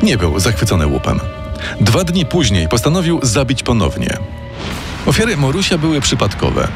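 A man narrates a true-crime story from a script, measured and dramatic. His pitch moves freely in mid range as he tells it. A music bed plays steadily beneath his voice. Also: huge pitch swings. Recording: music playing underneath.

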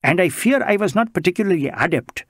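A man's voice: high-pitched, animated, a little fast, a bit bright, dry recording, clean studio sound, voice pushed up. A man voicing an anxious, wordy middle-aged male social worker, pleading and flustered. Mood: relieved.

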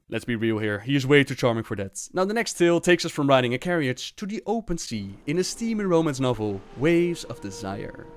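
Mid-pitched man narrating stories. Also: no room sound, studio quality. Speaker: narrator, channel host. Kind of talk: dramatic storybook narration.

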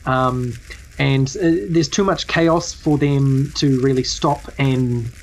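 A man's voice: medium pitch, steady tone, takes it slowly, a little hiss or hum, rough recording. A man narrating a tabletop game as game master, describing scenes in a hesitant, improvised way.

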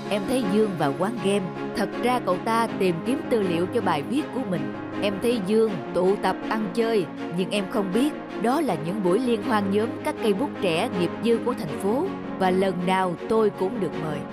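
A woman voicing a calm, wise middle-aged male teacher in a cartoon, speaking in a measured, instructive way. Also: medium pitch, natural voice. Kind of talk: cartoon dubbing. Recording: music under the voice.

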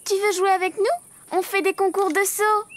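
A woman voicing a bossy, cheerful little girl donkey in a children's cartoon, in a bright sing-song manner. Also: extremely high pitch, steady tone, normal speed, a little hiss or hum. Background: children's cartoon music.

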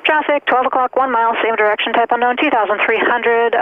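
An air traffic controller giving clipped, procedural instructions in rapid phraseology over an aviation radio. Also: mid-range voice. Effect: aviation radio transmission. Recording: tinny narrowband aviation radio.

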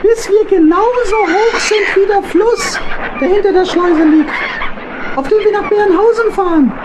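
A woman's voice: extremely high pitch, moderate pace, background noise. A woman voicing a plucky, eager little animal shipmate in a children's audio drama. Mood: eager, bold.

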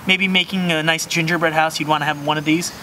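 A young man with a slightly high, bright voice talks through a beer tasting on a sunny patio. He is enthusiastic and descriptive, often repeating words as he searches for them. Faint outdoor sound sits behind him. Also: steady tone, fast, slight background noise. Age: young adult. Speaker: beer review host. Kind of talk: casual beer tasting review.

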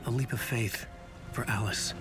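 An actor playing a guilt-ridden middle-aged writer, narrating his nightmare gravely and introspectively in a video game. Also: medium pitch, steady tone, normal speed, music in the background, natural voice. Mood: brooding. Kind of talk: brooding noir-like scripted inner narration.

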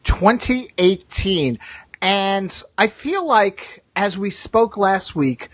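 Male podcast host, husky, chatty and informal, dry close sound. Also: sits high, lively intonation, slow pace, fairly bright tone, dry recording. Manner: chatty, repeats himself. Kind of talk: casual chat, live podcast.

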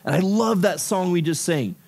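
A man's voice: sits high, moderate pace, gravelly. Chatty man preaching to teens. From Ecuador. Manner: casual, animated, filler-heavy with frequent "like" and "right?". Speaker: youth pastor. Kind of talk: youth sermon. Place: youth service room with about fifty people.

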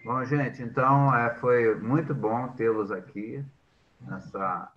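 A man's voice: mid-range voice, slow pace, muffled recording, slight background noise. An older man, cheerfully hosting a casual video call from home. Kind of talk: casual chat. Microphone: laptop mic on video call.

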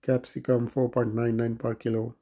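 A man's voice: medium pitch, completely flat delivery, normal speed, warm tone, husky. A man vlogging a walk through a large grocery store, plainly listing produce and prices. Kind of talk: grocery store walkthrough narration.

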